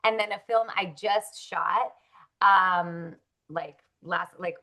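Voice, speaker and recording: A woman's voice: fairly low pitch, slow pace, bright, forceful tone. A woman born in Russia, an actress chatting casually in an interview, upbeat and full of likes and ums.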